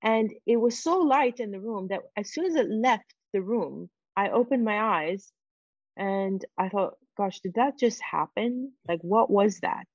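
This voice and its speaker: A woman's voice: medium pitch, animated, a little slow, darkish tone, no echo, lo-fi sound. A woman whose first language is Farsi, telling a personal story as an interview guest, reflective and full of fillers like "sort of" and "you know".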